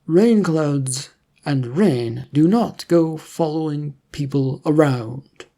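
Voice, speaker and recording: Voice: mid-range voice, slow, mellow. A narrator voicing a businesslike male government agent who explains things formally in a story. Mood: serious.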